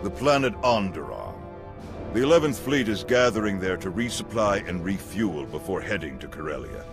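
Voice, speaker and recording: An actor playing a burly, authoritative alien general giving a grave military briefing in a video game. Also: male voice, deep voice, very animated, normal speed, music in the background. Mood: commanding.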